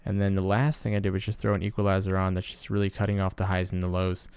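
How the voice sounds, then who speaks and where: A man presenting a casual sound design tutorial in a low-key, explanatory way. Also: level tone, fast talker, a little mellow, smooth, no room sound. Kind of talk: casual screen-recorded tutorial narration.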